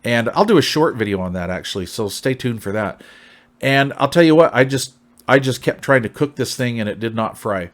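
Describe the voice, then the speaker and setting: A man's voice: mid-range voice, expressive, brisk. An American man hosting a casual product review in a folksy, long-winded, conversational way, often checking in with the listener. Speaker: CB radio reviewer, channel host.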